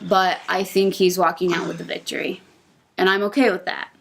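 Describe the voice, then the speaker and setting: Low, creaky-voiced woman on a podcast. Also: little pitch movement, a little fast, fairly bright tone, a little hiss or hum, poor audio. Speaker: podcast panelist. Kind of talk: casual wrestling prediction talk.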